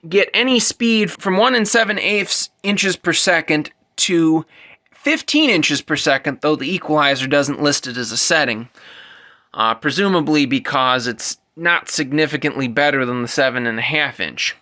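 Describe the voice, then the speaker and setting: A man's voice: fairly high pitch, animated, moderate pace, bright, forceful tone. A man giving an unscripted, methodical technical explanation as a presenter, going into detail and correcting himself as he goes. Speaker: presenter demonstrating vintage audio gear.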